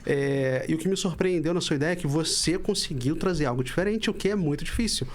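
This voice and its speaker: A man's voice: a little high, fast talker. A Brazilian man hosting a podcast, talking in an upbeat, chatty, slangy way. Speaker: podcast host. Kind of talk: casual podcast interview.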